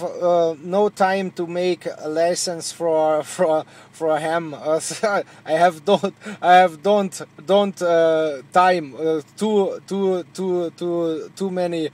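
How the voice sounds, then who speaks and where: A man from Germany with a German accent, chatting as a radio operator in halting, repetitive English, searching for words. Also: on the high side, darkish tone. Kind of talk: casual radio contact chat.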